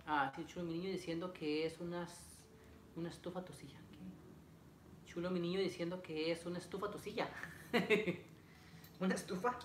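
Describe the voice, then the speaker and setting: A man's voice: sits high, fairly even intonation, normal speed, pauses often. Young man, casual livestream chat, repeats himself, roomy echo, faint background noise and clicks. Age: young adult. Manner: chatty, reads out greetings, repeats phrases. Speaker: vlogger. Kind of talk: casual livestream chat with viewers while assembling furniture. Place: living room at home. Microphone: camera a few feet away. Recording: echoey room, noisy, clicks.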